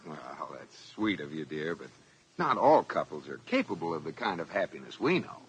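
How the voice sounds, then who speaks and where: An actor playing a dry, exasperated banker husband in 1940s radio comedy style, with sarcastic, deadpan comebacks. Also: male voice, deep voice, a little fast, breathy edge, heavily acted, poor audio. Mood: sardonic. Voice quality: sardonic, deadpan.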